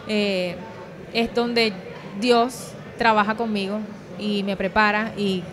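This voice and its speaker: A young woman with a Puerto Rican accent giving an earnest, hesitant personal testimony in an interview, on a handheld microphone. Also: mid-range voice. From Puerto Rico. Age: young adult. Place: convention booth. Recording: crowd chatter at event.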